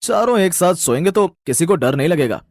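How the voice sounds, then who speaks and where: A man's voice: fairly high pitch, animated, fairly quick, dry recording, studio quality. A man playing a weary middle-aged father in a horror story, soothing and firm. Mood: reassuring.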